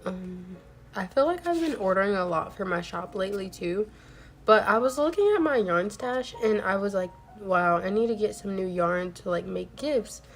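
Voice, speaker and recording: A woman's voice: medium pitch, lively intonation, moderate pace, a little hiss or hum. A young woman chatting casually while crafting on a stream, rambling with lots of "like" fillers, her voice a little off from a recent cold. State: voice a bit off from a cold. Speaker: crochet streamer. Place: home crafting setup. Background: faint music.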